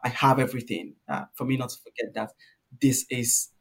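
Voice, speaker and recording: A man's voice: mid-range voice, animated, a little fast. A young man from Nigeria, a guest in a casual interview, telling stories at length with many ums and an easy laugh. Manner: warm storyteller, laughs easily, many fillers.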